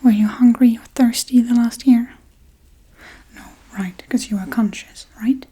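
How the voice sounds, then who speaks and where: A scatterbrained Halloween witch, acted out by a woman, murmurs in a very breathy, whispery voice. The tone is slightly high and mellow. She rambles offhandedly in soft ASMR role-play style, blithely callous about her spells and victims. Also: steady tone, measured pace, low-quality recording. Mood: offhand, musing.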